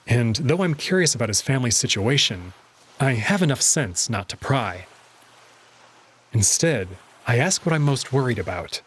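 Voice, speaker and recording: A man narrating and commenting on a visual novel in an expressive storytelling style. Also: mid-range voice, moderate pace, husky. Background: music under the voice.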